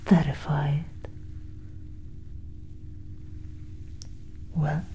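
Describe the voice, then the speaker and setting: A cool, curious alien abductress acted by a woman whispers in a low, very dark and extremely breathy voice. She speaks softly and intimately to her human specimen, with a condescending air, as in a close-miked ASMR roleplay. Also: exaggerated voice. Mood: bored, superior. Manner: slow, soft, condescending, intimate. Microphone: close microphone.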